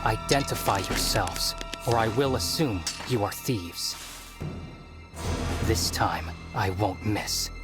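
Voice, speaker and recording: An actor playing an anxious young prince in a video game cutscene, speaking formally. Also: male voice, fairly low pitch, expressive, takes it slowly, over loud music. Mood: stern, threatening. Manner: formal, self-deprecating. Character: anxious, apologetic young prince, stern when guarded.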